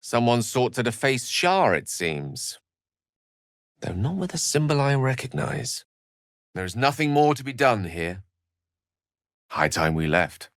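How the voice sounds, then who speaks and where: An actor with a British accent voicing a learned, proud, romantic wizard in a video game, with eloquent, slightly pompous phrasing. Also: male voice, mid-range voice, very animated, a little slow, long pauses, dry recording, clean studio sound. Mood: measured, dry. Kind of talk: video game character voice lines.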